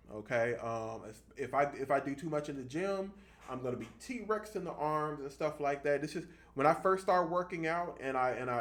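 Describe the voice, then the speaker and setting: Gravelly man in his thirties, explaining casually. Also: medium pitch. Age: 30s. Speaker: fitness vlogger. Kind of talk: casual explanatory monologue to camera.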